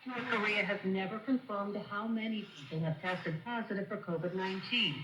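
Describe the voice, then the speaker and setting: A woman delivers a scripted news report as a radio correspondent, formal and measured. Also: mid-range voice. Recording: noisy shortwave radio broadcast, echoey. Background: shortwave static and noise.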